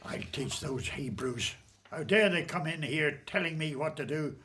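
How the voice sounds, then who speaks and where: An actor playing a haughty, irritable Egyptian Pharaoh in a radio drama, imperious and dismissive. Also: male voice, fairly high pitch, a little slow, heavy background noise, close to an everyday voice. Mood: angry, commanding.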